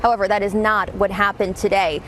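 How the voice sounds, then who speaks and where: A woman's voice: mid-range voice, level tone, fairly quick, a bit bright. A woman delivering a scripted TV news report. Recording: noisy played-back news clip.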